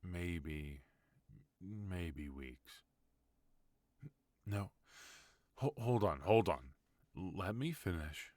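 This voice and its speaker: A man voicing a protective mafia boss husband in an ASMR roleplay, talking low, firm and softly pleading. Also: animated, drawn-out words, long pauses, dry recording, exaggerated voice. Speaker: voice actor.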